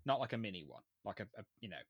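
A man with a Birmingham accent co-hosting a podcast, speaking clearly with careful enunciation and frequent ums. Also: fairly low pitch, very animated, rapid-fire, no echo, lo-fi sound. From England. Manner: well-enunciated, hesitant with ums. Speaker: podcast co-host. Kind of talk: casual chat.

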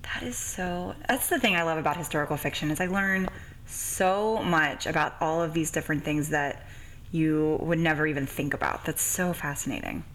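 A woman's voice: sits low, normal speed, fairly bright tone, slight background noise, poor audio. A woman hosting a podcast, chatting casually with gushing enthusiasm. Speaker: podcast host. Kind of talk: casual interview chat.

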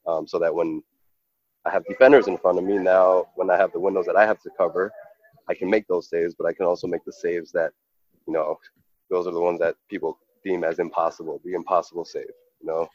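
A man's voice: deep voice, little pitch movement, fairly quick, darkish tone, dull audio. A man from New York, a professional goalkeeper, giving earnest, reflective interview answers full of fillers and restarts.

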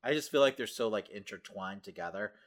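A man's voice: fairly low pitch, lively intonation, brisk. A middle-aged man co-hosting a podcast, chatting casually and asking questions. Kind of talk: casual interview-like chat.

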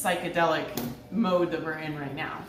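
Lower-voiced woman host, echoey and distant. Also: steady tone, normal speed, a loud crowd. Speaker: podcast host. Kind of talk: casual interview chat. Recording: distant, echoey, noisy livestream audio.

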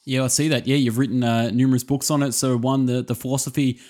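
A man's voice: mid-range voice, flat delivery, quick pace, a little mellow. A man hosting an interview show, introducing his guest and asking questions. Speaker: podcast host, interviewer.

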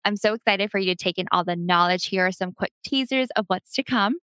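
A woman's voice: medium pitch, a little fast, a bit bright, smooth, no echo. Upbeat woman hosting a podcast. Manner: upbeat, polished. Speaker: podcast host. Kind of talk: scripted podcast intro and interview.

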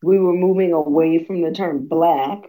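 A woman's voice: fairly low pitch, fairly even intonation, moderate pace, mellow. A young adult woman talking candidly and bluntly in a casual conversation, with fillers and emphatic asides.

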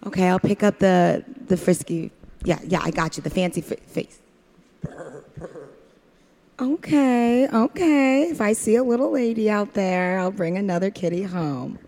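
A woman's voice: mid-range voice, measured pace, mellow, lightly acted. A woman improvising a flustered, chatty, eager-to-please diner waitress in a comedy parody of a radio play. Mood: pleading, affectionate. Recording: reverberant hall sound.

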